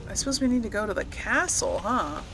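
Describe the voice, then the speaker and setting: A woman's voice: mid-range voice, animated, brisk. A woman hosting a gameplay video, thinking aloud in casual commentary as she plays. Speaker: gaming video host. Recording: clear noise behind voice.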